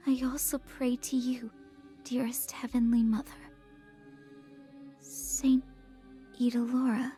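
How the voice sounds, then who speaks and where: A meek young girl acted by a woman, high and mellow in voice. She speaks slowly and hesitantly, devout and obedient, as a character in a horror visual novel. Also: level tone, faint music behind, lightly acted. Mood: solemn praying. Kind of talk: video game character acting.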